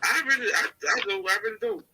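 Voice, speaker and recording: A woman calling in to a live show, congested and soft-spoken, talking hesitantly with many fillers. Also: fairly high pitch, sing-song, quick pace, breathy edge. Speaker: caller. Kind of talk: casual phone-in chat.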